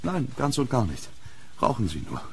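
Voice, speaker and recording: A haunted gentleman explorer in a horror audio drama. His male voice sits at a medium pitch, with formal, dramatic delivery. There is slight background noise behind him. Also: wildly swooping pitch, normal speed. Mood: grave, mysterious. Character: haunted British gentleman archaeologist recounting a dreadful curse. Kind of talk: German horror radio drama acting.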